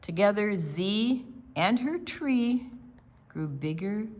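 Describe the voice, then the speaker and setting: An older woman reading aloud and explaining things to young children in a deliberate, teacherly, expressive way. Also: mid-range voice. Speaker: children's storytime presenter.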